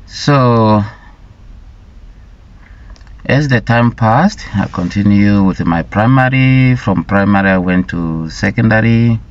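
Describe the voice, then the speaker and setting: A man from Rwanda speaks slowly in a very low voice, telling his personal story with halting, deliberate phrasing and repeated words. The recording sounds dull and lo-fi. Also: lively intonation. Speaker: vlogger sharing his life story.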